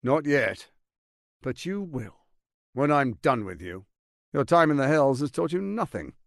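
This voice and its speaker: An actor playing a suave, scheming tyrant lord in a fantasy video game, speaking in a pompous, silky, mock-courteous and theatrical manner. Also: male voice, mid-range voice, sing-song, unhurried, creaky, no room sound, studio quality. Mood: contemptuous.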